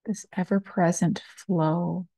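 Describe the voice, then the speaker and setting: A woman meditation teacher guiding a body scan, speaking calmly and soothingly in short phrases with long pauses. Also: fairly low pitch, creaky, no room sound, rough recording. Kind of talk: slow guided meditation, body scan narration.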